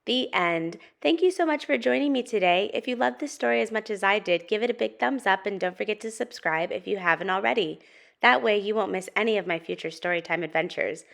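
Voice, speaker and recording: A woman's voice: mid-range voice, fairly quick, creaky. A woman hosting a children's storytime, reading and talking expressively to young listeners. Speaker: storytime host and narrator. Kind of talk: children's picture book read-aloud.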